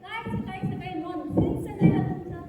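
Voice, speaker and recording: A woman's voice: very high voice, fairly even intonation, normal speed, mellow, some chatter behind. A woman playing a snobbish, irritable young working wife in a stage comedy, haughty and exclaiming. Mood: irritated. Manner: haughty, English-peppered, exclaiming. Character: snobbish, irritable young office-going daughter-in-law. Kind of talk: amateur stage comedy acting. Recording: echoey hall, far mic, noisy.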